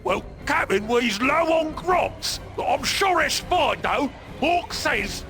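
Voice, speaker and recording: High, sharp ork mechanic sidekick. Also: male voice, expressive, slow pace, soft background music, exaggerated voice. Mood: matter-of-fact. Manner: ungrammatical, reporting to his boss. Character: matter-of-fact male ork mechanic sidekick. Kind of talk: video game cutscene acting.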